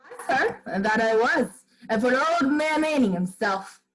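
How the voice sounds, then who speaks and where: A woman's voice: sits high, lively intonation, measured pace, very noisy. A woman playing a mad, power-hungry male village bell-ringer in a radio play, gloating and defiant in rustic speech. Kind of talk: 1940s-style radio play acting.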